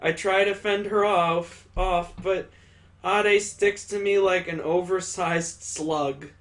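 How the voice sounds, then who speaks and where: A man reading a visual novel aloud as a let's player, with expressive, dramatic narration. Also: high-pitched, takes it slowly.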